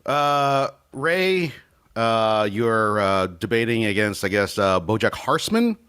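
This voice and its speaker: Male game-show-style moderator, lively pitch, theatrical announcing with casual asides. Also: slow pace, clear, resonant tone. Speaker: host and moderator of a comedic debate show.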